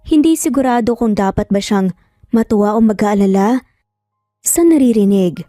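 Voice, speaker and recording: A woman's voice: mid-range voice, animated, moderate pace, warm tone, no room sound, clean studio sound, faint music behind. A woman narrating a scripted dramatic story.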